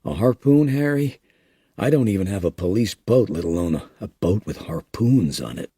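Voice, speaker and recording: A man voicing a weary, guilt-ridden small-town police chief with a gruff manner. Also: low-pitched, lively intonation, moderate pace, dark tone, no room sound. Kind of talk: audiobook character reading.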